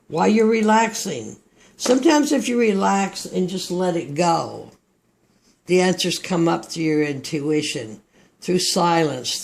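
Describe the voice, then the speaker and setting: Slow, gravelly Texan man reading tarot. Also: sits high. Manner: slow, meandering, reflective delivery. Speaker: tarot reader.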